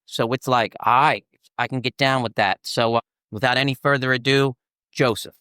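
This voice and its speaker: A man chairing a recovery meeting, introducing the speaker in a relaxed, casual way. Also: mid-range voice, normal speed, clean tone, dry recording, clean studio sound.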